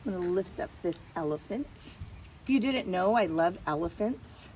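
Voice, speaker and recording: A woman's voice: on the low side, expressive, moderate pace, a little mellow. A woman hosting a gardening vlog, chatting casually and narrating her hands-on work as she goes, in a rambling way. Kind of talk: casual chat while working. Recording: distant mic, noisy background.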